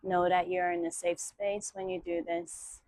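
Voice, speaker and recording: A woman teaching yoga, guiding meditation and breathing in a soothing, measured way with long pauses between short phrases. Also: lowish voice, flat delivery, darkish tone, no echo, rough recording. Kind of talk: guided meditation and breathing instruction.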